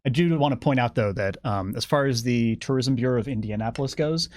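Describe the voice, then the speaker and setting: A man's voice: mid-range voice, brisk, a little mellow, dry recording. Male game developer guest, conversational explainer, wry and keen, very dry close sound. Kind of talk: casual explanatory chat about a game.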